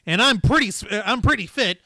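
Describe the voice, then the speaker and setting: A man's voice: high-pitched, expressive, fairly quick, pushed and bright, no echo. A man hosting a live stream, joking casually in an irreverent, profane way. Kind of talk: casual joking panel chat. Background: music.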